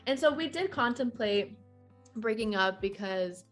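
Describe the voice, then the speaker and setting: A woman's voice: a little high, expressive, measured pace. Young woman chatting informally over faint music. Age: 20s. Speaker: podcast guest. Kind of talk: casual conversational interview.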